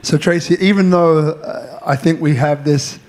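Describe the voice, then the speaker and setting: A man's voice: a little high, fairly even intonation, a little slow, darkish tone. Polite man moderating a panel. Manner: polite, directing guests. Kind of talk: hosting a panel.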